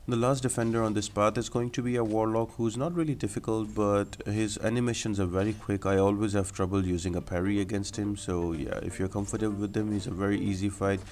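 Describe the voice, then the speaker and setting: A man's voice: lowish voice, steady tone, fairly quick, hardly any pauses, a little mellow, faint music behind. A man giving instructional, explanatory commentary as the host of a video game guide.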